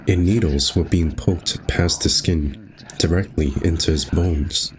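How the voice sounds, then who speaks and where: A man's voice: sits low, a little mellow, slight background noise. A man hosting a gaming video, reading visual novel narration aloud and adding casual commentary.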